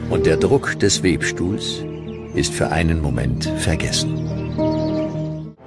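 A man narrating a scripted documentary with dramatic, deliberate storytelling. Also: low-pitched.

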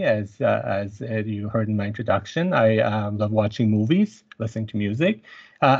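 A middle-aged man giving a presentation over a video call, pausing often with fillers and restarting his sentences. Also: medium pitch, gravelly. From born in Iran, grew up in Germany. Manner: halting, many fillers and restarts. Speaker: university instructor giving a talk. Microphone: video call webcam mic. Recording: dull video call audio.

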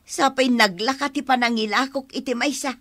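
An actress playing an aggrieved village plant seller in a radio comedy drama, whiny and full of melodramatic exclamations. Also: female voice, high-pitched, moderate pace, fairly bright tone, dry recording, studio quality. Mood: dismayed.

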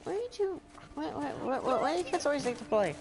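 A woman's voice: high-pitched, sing-song, fast talker. A young woman streaming a game, chatting casually with giggles and thinking aloud. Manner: chatty, giggly, talks to herself. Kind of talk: casual gameplay commentary. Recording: game music behind.

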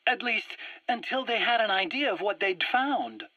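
Anxious, proud game scientist: animated, husky delivery, defensive explanations, muffled dry recording. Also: male voice, high-pitched, normal speed, no echo. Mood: proud lecturing. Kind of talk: video game voice acting, interrogation dialogue. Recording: dull, dry radio-like cassette recording.

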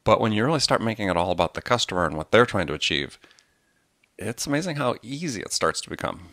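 Guy podcast host, deep voice, casual chat, dry close sound. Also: big pitch swings, quick pace, a bit bright, dry recording.

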